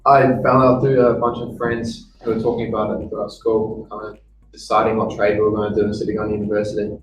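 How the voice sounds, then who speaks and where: A young man with a New Zealand accent answers plainly in a low, flat voice. He sounds distant in an echoey room. Music plays clearly behind him. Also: fast talker. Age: young adult. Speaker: trade student.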